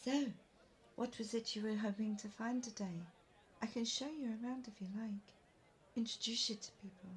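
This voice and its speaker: A woman's voice: on the high side, fairly even intonation, normal speed, lightly acted. A woman playing a friendly, chatty young guide from another world in an audio roleplay. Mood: friendly, welcoming. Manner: chatty, welcoming, giggly. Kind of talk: roleplay audio drama addressed to the listener. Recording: clear background noise.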